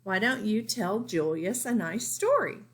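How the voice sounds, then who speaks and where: A woman voicing a patient, encouraging mother mouse in a children's storybook, gently coaxing. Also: medium pitch, expressive, a little slow. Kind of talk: read-aloud children's storybook.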